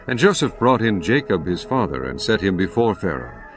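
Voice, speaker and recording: A man narrating scripture in a solemn, expressive scripted reading. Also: low voice, normal speed. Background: faint music.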